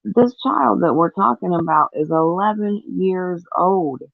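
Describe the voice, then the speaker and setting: A woman's voice: lowish voice, a little slow, creaky, no room sound. A woman giving earnest, disapproving opinions in casual commentary as a panelist on a discussion show. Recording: thin phone-like sound.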